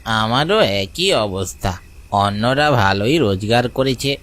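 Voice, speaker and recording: A male voice of middle pitch, an actor's, for a village fisherman. He is the younger companion in a cartoon tale and talks in acted, conversational dialogue. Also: lively intonation, slow, a bit bright. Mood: glum chatting. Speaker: supporting character.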